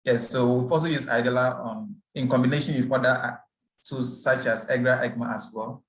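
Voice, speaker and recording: A man speaks at a mid pitch with a flat contour. His voice echoes around the room over a video call. He explains steadily, with the odd filler. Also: brisk. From Ghana. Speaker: research staff. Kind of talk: self-introduction on a video call.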